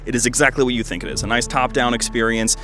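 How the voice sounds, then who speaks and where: Male game video host, twenties, casual and rambling, enthusiastic, lower-pitched middle register, clear music behind him. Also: steady tone, quick pace, a bit bright. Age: 20s. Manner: rambling, enthusiastic, jokey asides. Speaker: video host reviewing fan games. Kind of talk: casual commentary.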